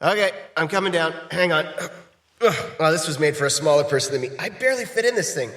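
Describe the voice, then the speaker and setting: An animated, joking romcom date guy. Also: on the high side, brisk, dry recording. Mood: playful, straining. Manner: hesitant, joking, expressive. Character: flirty, playful, slightly awkward touring musician man on a date. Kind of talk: romcom audio drama acting.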